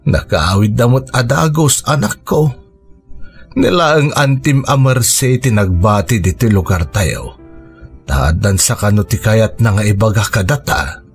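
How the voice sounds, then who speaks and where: A man's voice: lowish voice, animated, unhurried, soft background music. An actor playing a grieving, heavy-hearted father consoling his child in a radio drama. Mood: somber. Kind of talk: Ilocano radio drama acting.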